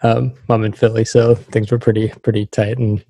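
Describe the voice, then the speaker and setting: Laid-back, mellow male podcast interviewer. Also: on the low side, level tone, normal speed, vocal fry, no echo. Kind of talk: casual interview chat.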